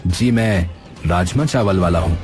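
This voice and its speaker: Earnest, low-voiced young food seller played by a man. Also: measured pace, a little mellow, soft background music. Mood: polite, earnest. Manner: polite, earnest, reassuring. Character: kind, polite young rajma-rice seller helping others. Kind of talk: Hindi cartoon dubbing.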